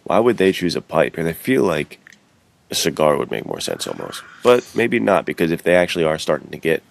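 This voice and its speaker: A man's voice: extremely low pitch, huge pitch swings, brisk, vocal fry. A man co-hosting a podcast, chatting casually in informal bro slang. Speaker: podcast co-host. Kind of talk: casual chat.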